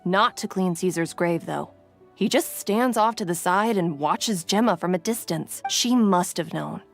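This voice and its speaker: A woman's voice: fairly low pitch, a little slow, vocal fry, soft background music. An actress voicing a musing, thoughtful townswoman in a video game. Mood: pensive.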